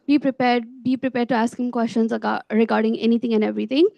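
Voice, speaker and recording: A young woman with a fairly high, creaky voice speaks as a student officer at a meeting. The sound is close and dry, with little room around her. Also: fairly even intonation, fast, mellow, no room sound. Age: young adult. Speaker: student government vice president. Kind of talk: spoken officer report at a meeting.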